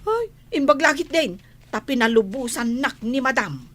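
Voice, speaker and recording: A sassy, high-voiced older housemaid sister. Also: female voice, animated, moderate pace, fairly bright tone. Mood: sassy, scornful. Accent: Ilocano. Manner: exclaiming, fussy, teasing. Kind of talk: Ilocano radio comedy drama acting.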